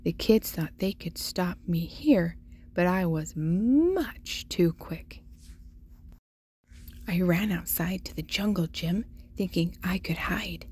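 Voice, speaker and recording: A woman hosting a children's read-aloud, telling a picture-book story in an expressive, deliberate way for young listeners. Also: on the low side, slightly rough. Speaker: host reading picture books aloud for children.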